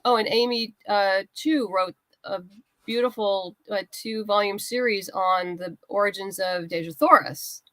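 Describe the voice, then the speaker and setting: A woman's voice: medium pitch, unhurried, sharp tone, no room sound. A woman chatting casually as an interview guest, talking in an enthusiastic, chatty way. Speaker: guest, writer and comics creator.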